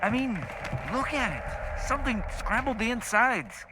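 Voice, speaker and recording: An actor playing a nervous, jumpy young marine in a video game, uneasy and quick to worry. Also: male voice, very high voice, lively intonation. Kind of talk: video game cutscene voice acting. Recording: voice over noisy battle ambience.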